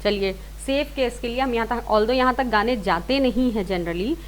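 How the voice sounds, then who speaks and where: Higher-pitched woman teaching singing. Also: moderate pace, a little hiss or hum. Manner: explanatory, rephrases and repeats points, mixes in English words. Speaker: singing teacher, series host. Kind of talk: informal step-by-step music lesson.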